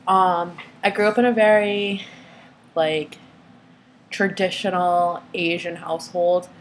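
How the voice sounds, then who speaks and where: A young woman whose first language is Vietnamese speaks casually to her camera in a somewhat low voice. She hesitates often, filling gaps with small fillers and restarting phrases. A faint background hiss sits under her voice. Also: fairly even intonation, slow pace, rough recording. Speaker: vlogger.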